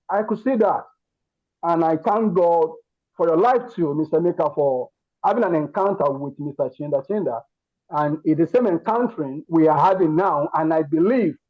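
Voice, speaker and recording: A man's voice: a little high, muffled recording. A man with a Nigerian Yoruba accent gives an earnest testimony to a group, speaking emphatically and often repeating his words and phrases. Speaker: participant in an online masterclass giving feedback.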